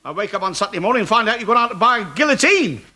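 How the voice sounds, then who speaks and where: An actor playing a petulant middle-aged English husband in a TV sitcom, talking in an exasperated, sarcastic, emphatic way. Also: male voice, high voice, very animated, very fast. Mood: indignant. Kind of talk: TV sitcom acting. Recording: faintly noisy old TV sitcom copy.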